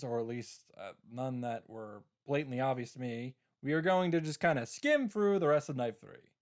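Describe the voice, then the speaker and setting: Wry man commenting on a game playthrough. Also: medium pitch.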